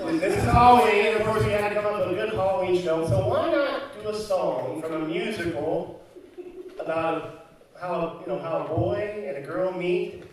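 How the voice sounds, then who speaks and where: A man's voice: high voice, normal speed, slight background noise. A man hosting a live stage show, announcing and chatting to the audience. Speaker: host of a live radio-style stage show. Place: community center hall. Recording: echoey hall, distant mic, loud audience.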